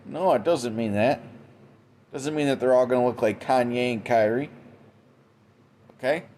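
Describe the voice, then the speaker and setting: A man talks through an informal religious monologue at a mid pitch. He keeps checking in with "okay?" and "man", often restarting his words. A faint hum of noise sits behind him. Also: normal speed, mellow. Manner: tags almost every sentence with "okay?" and "man"; frequent restarts and repeated words. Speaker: religious commentator. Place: indoors, Western New York.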